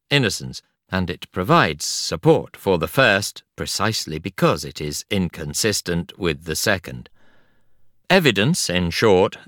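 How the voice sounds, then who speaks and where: A man reading a non-fiction audiobook in a measured, articulate and expressive way. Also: low voice, dry recording, studio quality. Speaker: audiobook narrator. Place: studio.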